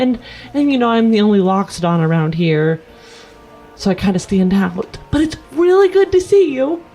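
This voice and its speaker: A woman's voice: medium pitch, dark tone. A woman playing in a tabletop roleplaying show, casually improvising in and out of character over light music.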